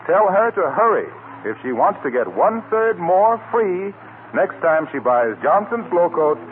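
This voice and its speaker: Animated 1940s American radio announcer, male. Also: sits high, measured pace. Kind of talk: 1940s radio advertisement reading. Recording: tinny 1940 radio broadcast, faint noise.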